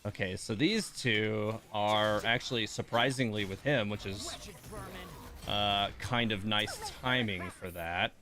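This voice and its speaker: A man's voice: fairly low pitch, slow pace. A man streaming a game, giving casual live commentary with muttered, mildly exasperated asides to himself.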